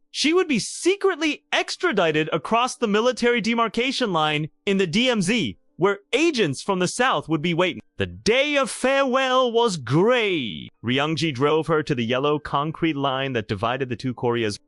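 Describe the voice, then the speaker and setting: A man's voice: high voice, fairly bright tone, no echo, clean studio sound. A man narrating a scripted romantic drama in a theatrical, emphatic storytelling style.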